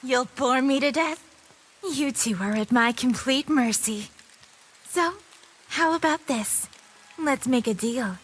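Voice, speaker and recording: A woman's voice: high-pitched, slow pace, pauses often, breathy edge, full character voice. A woman voicing a sadistic, theatrical villainess who mocks and gloats over her prey in a fantasy audio drama. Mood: smug, menacing. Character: sadistic, gleeful villainess, an otherworldly being who toys with prey.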